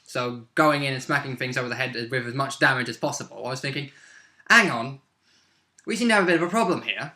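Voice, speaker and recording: A man's voice: medium pitch, fast, sharp tone. A man speaking as a guest player, chatting informally and jokily about a tabletop game. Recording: roomy echo on the voice.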